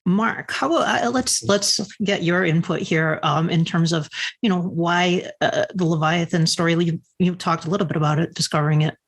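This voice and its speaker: Higher-voiced, husky male podcast host. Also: normal speed, fairly bright tone. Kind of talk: casual interview conversation.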